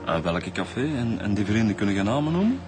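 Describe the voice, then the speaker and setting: Steady, informal Flemish male detective, fairly low voice, polite. Radio drama, music underneath. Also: fast. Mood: soothing, probing. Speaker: actor in a police radio serial.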